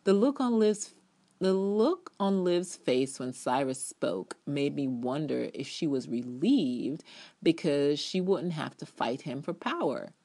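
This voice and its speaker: A woman's voice: low voice, expressive, slow, mellow. A woman reviewer giving a dramatic reading of a written TV review, emphatic and opinionated, drawing out key words and adding offhand asides. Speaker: TV episode reviewer.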